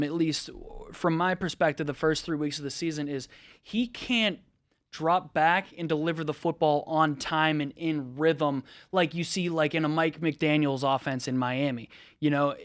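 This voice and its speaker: Man, sports co-host, measured analysis, slightly high voice, dry studio. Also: dry recording.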